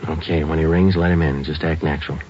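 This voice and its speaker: An actor playing a terse, stoic police sergeant in a vintage radio crime drama, with clipped, deadpan delivery. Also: male voice, rumbling low, quick pace. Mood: businesslike. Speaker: lead actor and narrator. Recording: dull old radio broadcast with music.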